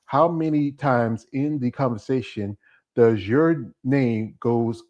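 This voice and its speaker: A man's voice: mid-range voice, takes it slowly, darkish tone, highs cut off. Rambling male interview host. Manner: rambling, many "you know" fillers. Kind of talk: casual interview chat.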